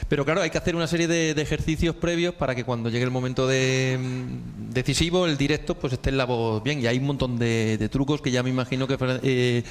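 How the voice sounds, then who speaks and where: A man's voice: medium pitch, fast, few pauses. A man hosting a radio panel discussion, chatty and self-deprecating, with many fillers. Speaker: radio host.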